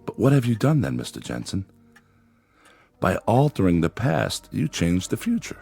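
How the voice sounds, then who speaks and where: Low-voiced, rational psychiatrist. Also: male voice, wildly swooping pitch, gravelly, dark tone, husky, faint music behind, exaggerated voice. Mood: reasoning, emphatic. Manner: deliberate, explanatory, emphatic. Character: measured, rational male psychiatrist reasoning with patient. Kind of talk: dramatic dialogue and first-person narration.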